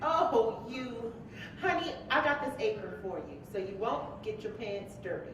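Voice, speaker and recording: A woman's voice: fairly high pitch, unhurried, fairly bright tone. A woman acting a teasing, affectionate young wife in a staged play, with a playful, coaxing way of talking. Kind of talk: live staged play dialogue. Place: large echoey room, live staged reading. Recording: very echoey live hall, distant mic, faint noise.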